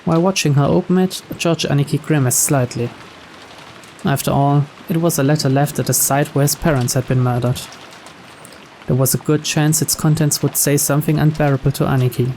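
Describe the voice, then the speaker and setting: A man's voice: a little high, steady tone, normal speed, darkish tone, a little hiss or hum, rough recording. A man reading scripted first-person narration from a mystery visual novel. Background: music and rain.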